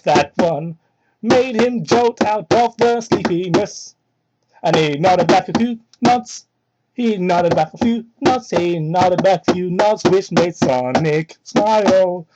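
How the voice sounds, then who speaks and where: A young adult reading fan fiction aloud in a mock-dramatic way, with sweary, sardonic asides, on a cheap microphone. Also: very noisy. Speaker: reader and commentator. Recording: muffled low-fidelity cheap microphone.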